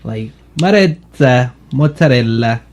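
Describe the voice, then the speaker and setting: A man's voice: medium pitch, lively intonation, slow, a little mellow. A man hosting a casual podcast with friends, teasing them and laughing often. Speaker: podcast host. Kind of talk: casual joking chat among friends.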